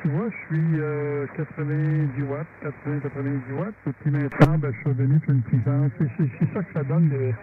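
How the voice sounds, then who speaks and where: Quebec guy on ham radio, kinda high voice, casual stop and start talk, super tinny and staticky. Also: fairly even intonation, a little fast, hardly any pauses. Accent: Quebec French. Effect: radio transmission. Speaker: amateur radio operator. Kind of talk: casual radio chat, technical troubleshooting. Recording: thin narrowband radio link, clear static noise.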